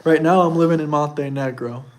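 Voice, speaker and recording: A man's voice: on the high side, brisk. Young man rambling to his stream chat. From United States. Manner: boastful, defensive, rambling. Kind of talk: casual live chat.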